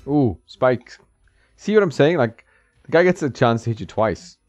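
A man's voice: medium pitch, expressive, normal speed, a little mellow, dry recording. A man giving casual, reactive commentary while he plays a retro fighting game, chuckling often and talking back to the game. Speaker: retro game video host. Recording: dull dry audio with game music behind.

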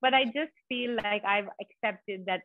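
A woman's voice: mid-range voice, measured pace, no room sound. A woman poet from India, speaking thoughtfully in an interview and often repeating words as she reflects. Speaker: poet and guest. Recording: dry, tinny phone-like line.

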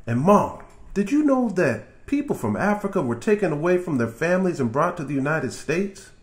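A man voicing an excited, curious young boy in a storybook, with an eager delivery. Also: on the high side, moderate pace, pitched above the performer's own voice.

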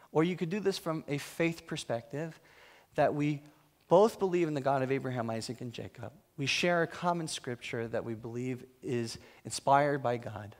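A man giving a talk in a church hall, telling humorous, self-mocking stories about his work. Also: medium pitch. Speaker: guest speaker. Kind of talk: storytelling talk.

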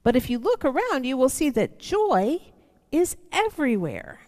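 A middle-aged woman reading a picture book aloud to children, expressive and lingering on words, with conversational asides. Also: high voice, moderate pace. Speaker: church storyteller for children. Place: large church sanctuary, seated indoors. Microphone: headset microphone. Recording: church hall reverb.

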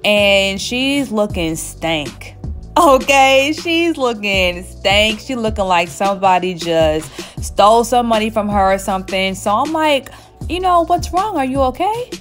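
A woman's voice: medium pitch, animated, a little slow, faint music behind. A woman in her thirties, a hairstylist, chatting casually while seated in her small salon, telling a story with lots of laughs. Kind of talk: casual storytime while getting a wig installed. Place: small indoor hair salon. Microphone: camera at chest height, seated facing it.

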